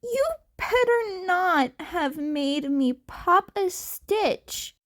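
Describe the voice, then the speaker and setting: A woman's voice: very high voice, animated, extremely slow, pitched above the performer's own voice, full character voice. A woman voicing a nervous, whiny teenage boy vigilante who stammers defensively in an audio drama.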